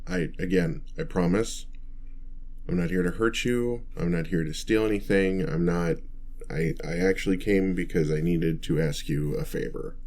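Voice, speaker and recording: A man's voice: low-pitched, normal speed, darkish tone, close to an everyday voice. A man playing a meek, nerdy, newly turned vampire in an ASMR roleplay, hesitant, stammering and apologetic as he rambles. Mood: nervous, pleading.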